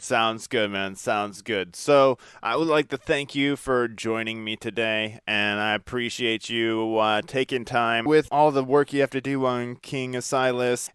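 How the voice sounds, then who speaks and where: A man's voice: medium pitch, fairly bright tone, clean tone, no echo, studio quality. A man hosting a podcast interview in a casual, laid-back conversational way. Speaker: podcast host.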